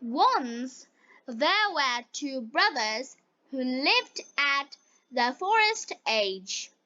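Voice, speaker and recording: Slow, high-pitched woman narrating for learners. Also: pushed and bright, no room sound. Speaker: English teacher narrator. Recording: dull lo-fi recording.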